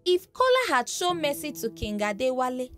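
A woman's voice: high-pitched, big pitch swings, moderate pace. A woman narrating a scripted folktale in a dramatic storytelling manner. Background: background music.

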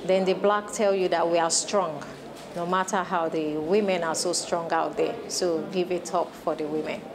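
A woman's voice: lowish voice, fairly even intonation, moderate pace, crowd noise. A woman with a Nigerian accent, a painter answering an interview in her own unscripted words. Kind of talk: spontaneous interview. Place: indoor art gallery. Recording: people chattering in the gallery.

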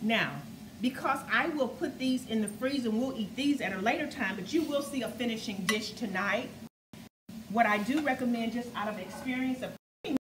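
A chatty middle-aged woman hosts a casual home cooking demo, her voice sitting a touch high. She keeps turning to viewers with "guys" and "okay?". The sound comes from a distance across a large, echoey kitchen, with a noisy background. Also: moderate pace. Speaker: cooking show host. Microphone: camera mic across the kitchen.

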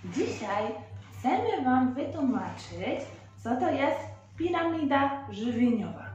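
Young female children's teacher, highish lively voice, explaining simply in a reverberant room. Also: slow, lo-fi sound. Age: young adult. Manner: simple, explanatory, prompting with questions. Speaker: presenter of a children's Bible lesson. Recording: very echoey room, faint background music.

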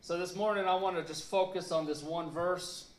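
A man in his thirties preaching a sermon in a church on a handheld mic, earnest and halting with fillers, leaning hard on key words. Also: high voice, steady tone. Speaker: pastor. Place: church sanctuary, indoors. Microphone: handheld mic, filmed by a camera across the room. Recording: echoey church room.

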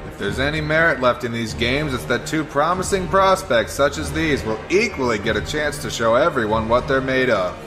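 Man as a deadpan anime commentator, mid-pitched voice, dry, resigned, music underneath. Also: moderate pace, few pauses, close to an everyday voice. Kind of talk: anime parody dub acting.

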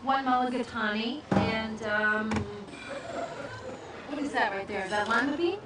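An actress playing a brash, breezy, overconfident city woman in a sitcom, chatty and animated. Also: a little high, a little slow, natural voice. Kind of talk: sitcom acting. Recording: noisy, roomy, distant street sound.

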